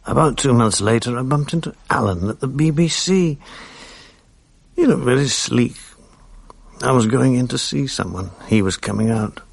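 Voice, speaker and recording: An actor playing a guilt-ridden, self-loathing English husband in a radio drama, educated and apologetic, with a gravelly voice. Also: male voice, medium pitch, moderate pace, dry recording. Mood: quiet grief. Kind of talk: radio drama acting, dialogue and first-person narration. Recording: 1980s radio drama with faint music.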